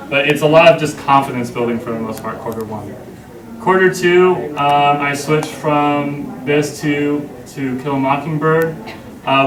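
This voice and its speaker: A male English teacher speaks earnestly to parents, stumbling a little over fillers. He is heard from a distance in an echoey room, with the murmur of people around him. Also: fairly high pitch. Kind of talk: addressing parents at a school open evening.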